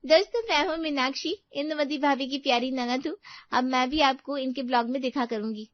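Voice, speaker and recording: A woman voicing an eager, chirpy and helpful young sister-in-law in an animated moral story. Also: high voice, brisk, no echo, highs cut off, lightly acted.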